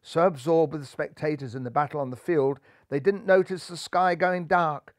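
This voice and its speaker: Higher-pitched man reading a children's story. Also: normal speed, dry recording, studio quality. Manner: theatrical, playful. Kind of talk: expressive children's story narration.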